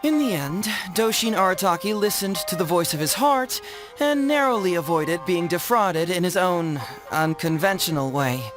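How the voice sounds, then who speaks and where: A man voicing a witty, smooth-talking young detective in a video game, articulate and theatrical. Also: sits high, lively intonation, moderate pace, over music. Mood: showy, knowing.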